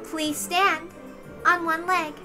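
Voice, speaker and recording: A woman voicing a cruel, coldly polite young lady who gives slow, deliberate commands. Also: extremely high pitch, level tone, sharp tone, faint music behind, pitched above the performer's own voice, heavily acted. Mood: cruelly polite.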